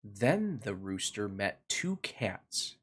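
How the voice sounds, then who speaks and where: Man, children's read-along host, unhurried delivery, lively pitch, deliberate sing-song emphasis. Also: slightly rough. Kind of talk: slow, expressive picture-book narration for children.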